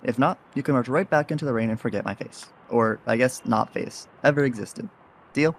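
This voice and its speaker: A man's voice: medium pitch, brisk, vocal fry, slight background noise, natural voice. A man playing an easygoing, cheeky young rogue in an audio drama, talking in casual, wry slang. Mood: reassuring, wry. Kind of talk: audio roleplay drama acting.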